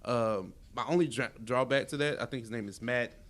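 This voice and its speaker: A man's voice: mid-range voice, moderate pace, a bit bright. Dude cohosting a nerdy podcast, mid-range voice, opinionated, cussing, repeats his point. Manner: slangy, opinionated, profane, emphatic repetition. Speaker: podcast co-host. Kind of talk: casual chat about superhero films and shows.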